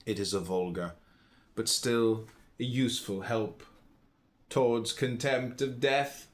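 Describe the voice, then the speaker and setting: Man deliberately reading philosophy aloud. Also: mid-range voice. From United States. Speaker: reader, podcast host.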